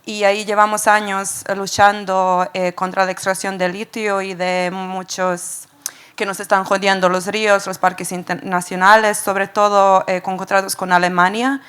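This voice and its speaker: Serbian woman with a clear, level voice. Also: mid-range voice, level tone, moderate pace, fairly bright tone. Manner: fluent but non-native, indignant, wry asides. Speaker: audience member asking a question. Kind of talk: spontaneous public question at a panel.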